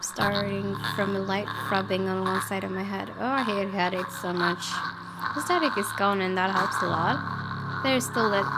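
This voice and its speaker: A woman with an expressive, excitable delivery, reading a horror visual novel aloud as a let's play host and reacting to it. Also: medium pitch, very noisy. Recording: loud game music behind voice. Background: loud game music.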